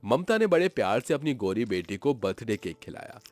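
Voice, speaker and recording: A man's voice: mid-range voice, big pitch swings, brisk. A man narrating a scripted story in a dramatic storytelling style. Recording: music behind the voice.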